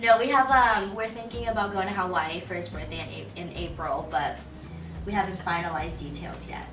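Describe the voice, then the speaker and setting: A woman's voice: on the low side, steady tone, a little fast, roomy sound, recorded from a distance, over a noisy crowd. A young woman, a YouTuber, chatting casually in a couple Q&A, giggly and saying "like" a lot. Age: young adult.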